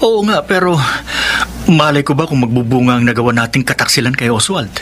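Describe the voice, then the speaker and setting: A man's voice: mid-range voice, brisk, a bit bright, a little hiss or hum, natural voice. A man playing a guilty, conciliatory betrayer in a Filipino radio soap, earnest and melodramatic, with a Filipino accent. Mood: firm, reassuring. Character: Paolo, guilty but calming male betrayer, conciliatory.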